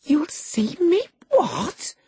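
A spoiled young girl from a storybook, acted by a woman, haughty and demanding. Her voice jumps high and swoops around freely, with an indignant, emotional edge. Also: huge pitch swings, measured pace, slightly rough, no room sound, full character voice. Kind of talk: dramatised audiobook character.

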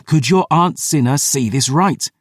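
Man as a gruff, desperate, hard-bitten fighter. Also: lowish voice, unhurried, no room sound, studio quality. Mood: urgent. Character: hard-bitten, foul-mouthed male fighter, fierce, stubborn, desperate. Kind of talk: audiobook character voice.

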